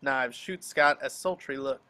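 Bright-voiced man reading stage directions. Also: mid-range voice, measured pace. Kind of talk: scripted action narration at an amateur table read. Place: home, group video call.

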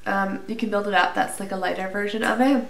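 A woman's voice: mid-range voice, steady tone, fast, gravelly, fairly bright tone. Woman in her thirties, nasal with a cold, chatty review vlog, roomy and distant mic. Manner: chatty, with fillers and small laughs. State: a little out of breath. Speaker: beauty vlogger. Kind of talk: casual product review to camera. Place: small indoor room, plain wall. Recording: roomy, slightly distant with faint hiss.

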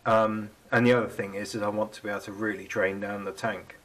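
A man's voice: on the low side, little pitch movement, quick pace, fairly bright tone, echoey room, far from the mic. A man in his thirties with the flu, casually explaining his boat build while working in a cold garage workshop. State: has the flu.

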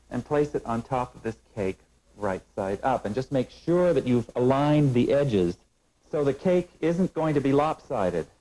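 A middle-aged man hosts a cooking show from a studio kitchen set, speaking into a lapel mic. His voice is mellow and even-pitched, and he explains each step in a calm, instructive way. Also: low-quality recording. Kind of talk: scripted cooking demonstration.

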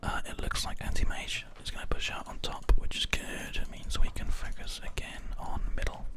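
A man whispers soft, breathy ASMR commentary over his own video game play, unhurried and reassuring, close on a binaural microphone. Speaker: gaming video host. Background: keyboard typing and mouse clicks.

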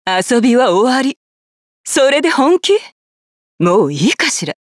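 A woman's voice: fairly high pitch, big pitch swings, a little fast, no room sound, studio quality. A woman playing a cocky, taunting battle sorceress in an anime-style video game.

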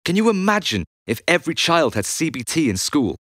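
A man's voice: a little high, big pitch swings, fairly quick, a bit bright, no room sound, studio quality. A man narrating his own audiobook memoir in a conversational, blunt and emphatic way. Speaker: author and musician reading own audiobook.

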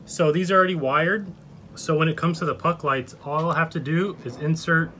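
A man's voice: a little high, fairly even intonation, a little fast, sharp tone, a little hiss or hum. A young man co-hosting a van build vlog, explaining his work in a plain, practical way. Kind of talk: casual explaining and chat. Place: bus interior under construction.

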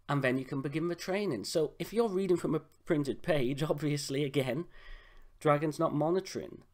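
Slightly hoarse man giving a tutorial. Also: fairly high pitch. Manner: relaxed, conversational, frequent "uh" fillers. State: slightly hoarse from a sore throat. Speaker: software tutor. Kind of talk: screen-recorded tutorial. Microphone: voice-over on a screen recording.

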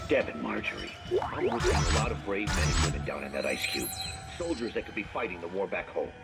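Gravelly, exasperated military general. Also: male voice, sits low, big pitch swings, brisk, loud music behind the voice. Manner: blustering, impatient, demanding.

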